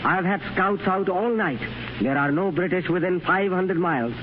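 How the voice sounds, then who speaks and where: An actor playing a cruel, mocking desert sheikh in a 1930s radio drama, taunting and menacing. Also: male voice, high voice, moderate pace, natural voice. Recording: muffled old radio broadcast with hiss.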